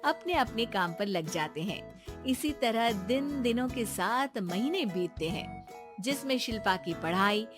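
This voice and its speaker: A woman's voice: on the high side, lively intonation, unhurried. A woman reading scripted narration for a Hindi moral story. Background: background music.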